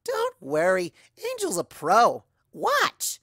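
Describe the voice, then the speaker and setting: A performer voicing a bubbly, excitable young female cartoon pony entertainer in an exuberant way. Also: mid-range voice, takes it slowly, creaky, no room sound, clean studio sound, pitched above the performer's own voice, full character voice. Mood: playful, excited. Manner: exuberant, bursting with exclamations.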